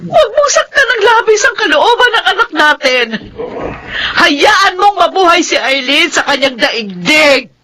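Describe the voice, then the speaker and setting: A woman's voice: very high voice, sing-song, unhurried, sharp tone, heavily acted, low-quality recording. A woman playing an earnest, emotional wife and mother in a Filipino radio drama. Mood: tearful, pleading.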